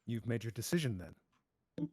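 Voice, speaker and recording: Guy doing a clever masked hero, cool and polite, medium pitch, dry close sound. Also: very animated, a little slow, darkish tone, slightly rough, no echo. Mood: calm, confident. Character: composed, clever masked adventurer challenging a villain. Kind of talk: theatrical table-read performance.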